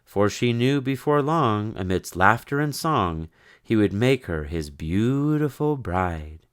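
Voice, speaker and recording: A man narrating a rhyming children's story in an expressive, theatrical way. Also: on the low side, slow, clear, resonant tone. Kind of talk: dramatic rhyming children's story read-aloud.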